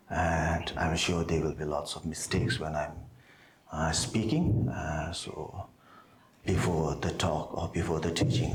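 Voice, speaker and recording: Deep, flat-voiced monk, Tibetan native speaker. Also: male voice. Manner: halting, many pauses and fillers. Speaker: monastery head, Buddhist teacher. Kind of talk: opening remarks before a teaching. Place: monastery hall. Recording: roomy hall, faint background noise.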